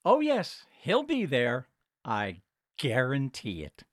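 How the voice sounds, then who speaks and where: Exasperated man, animated and sarcastic. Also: mid-range voice, takes it slowly, no room sound, clean studio sound, full character voice. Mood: sardonic. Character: exasperated, sarcastic grieving son on the phone. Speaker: caller. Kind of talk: comedic audio drama acting.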